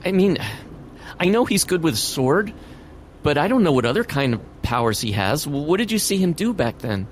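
A man playing a nervous, self-doubting high school boy hero in an audio drama, hesitant and stammering. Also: sing-song, moderate pace, a little hiss or hum. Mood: nervous, doubtful.

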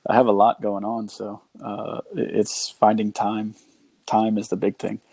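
A man's voice: fairly low pitch, completely flat delivery, measured pace, a little mellow, no echo. A man talking informally and conversationally as a guest on a podcast. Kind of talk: casual chat.

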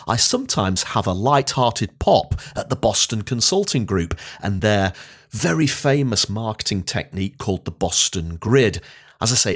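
A man's voice: on the low side, fairly bright tone, husky. A man hosting a podcast in a chatty, emphatic way, introducing and interviewing a guest. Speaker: podcast host. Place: indoors at home. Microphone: remote video call interview.

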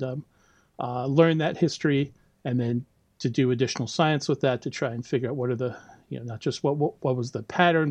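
A man's voice: mid-range voice, a little mellow, dry recording. American man explaining things, dry sound. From United States. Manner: explanatory, with frequent ums and laughs. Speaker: guest, biology lecturer. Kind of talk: casual interview talk.

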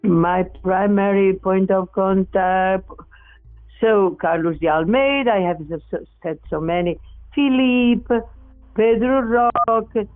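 Interviewee, slow and halting, frequent restarts, tinny phone-like sound, faint music underneath. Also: mid-range voice. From Portugal. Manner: halting, many restarts and self-corrections. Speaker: experimenter in spirit communication. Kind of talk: interview answers.

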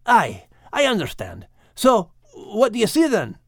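A man voicing small, gentle storybook animals with an animated, lilting delivery. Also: high voice, measured pace, vocal fry, no room sound, studio quality, pitched above the performer's own voice, exaggerated voice. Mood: curious, gentle.

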